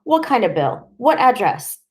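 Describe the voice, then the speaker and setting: A woman's voice: fairly high pitch, big pitch swings, a little mellow, voice pushed up. A woman doing a sneering, cruel suited man. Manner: sneering, rapid-fire questioning. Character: cruel, contemptuous man in a suit.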